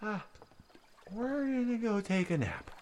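A man's voice: sits high, big pitch swings, a little slow, darkish tone, slight background noise. A man voicing the frightened, worn-out apostles in a storybook telling. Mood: scared, weary. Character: frightened group of grown men, the apostles.

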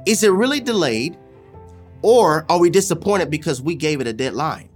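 A preacher with a mid-pitched voice delivers an impassioned sermon. The delivery is emphatic and repetitive, moving between forceful exhortation and hushed, deliberate lines, with music underneath. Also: animated.

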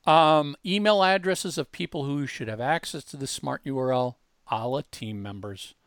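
Man giving a clear, casual tutorial. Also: medium pitch, moderate pace, a bit bright, no room sound, clean studio sound. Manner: informal, explanatory, addresses listeners directly. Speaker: host demonstrating software.

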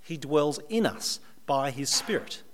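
A man's voice: medium pitch, big pitch swings, unhurried, a little hiss or hum. A man with an Australian accent, preaching a sermon to a live audience in a conversational way, with dry humorous asides.

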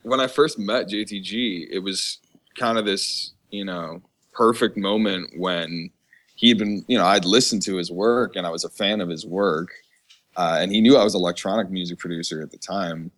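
Deep-voiced man chatting casually. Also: normal speed, fairly bright tone. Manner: slangy, casual swearing. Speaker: podcast guest, music producer. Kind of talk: casual chat.

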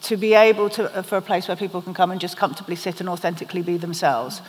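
A woman on a live conference panel, talking thoughtfully and often pausing to search for the right word. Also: on the low side, steady tone. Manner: thoughtful, searching for words, many restarts. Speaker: podcast panel host. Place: conference hall, on stage. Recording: reverberant hall.

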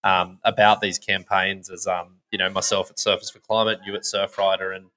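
A man hosting a podcast, asking questions in a relaxed, chatty way with plenty of fillers. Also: low-pitched, fairly quick, rough recording. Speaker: podcast host. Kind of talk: casual podcast interview.

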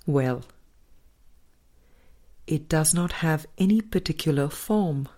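A woman with a low-pitched voice reads a spiritual audiobook. Her delivery is slow and deliberate, with pauses between phrases. The tone is soft-edged and mellow. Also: lively intonation. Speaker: audiobook reader. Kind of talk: scripted spiritual audiobook narration.

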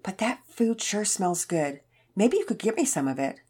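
A woman voicing a sweet, frail elderly man in a story, with a gentle, folksy, rambling manner. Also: mid-range voice, very animated, normal speed, husky, voice pushed up. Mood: grateful, folksy. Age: older. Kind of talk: acted character dialogue in children's story narration.

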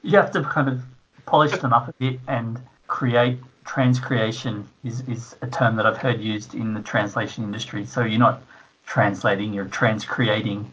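A man working as a translator, explaining his work in a relaxed, casual interview. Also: lowish voice, moderate pace, slightly rough, highs cut off.

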